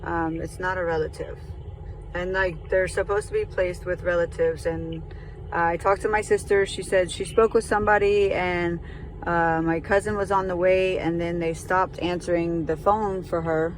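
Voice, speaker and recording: A woman speaking hesitantly and haltingly as a caller explaining a situation on a phone call. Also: fairly low pitch, steady tone. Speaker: caller reporting to sheriff's department. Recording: noisy phone call, car and traffic noise.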